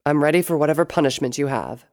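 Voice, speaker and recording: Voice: medium pitch, fast, close to an everyday voice. A narrator voicing a fierce, defiant female pony assassin in an audiobook story, hissing and muttering. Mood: resigned. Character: Sable, fierce defiant unicorn-world mare assassin.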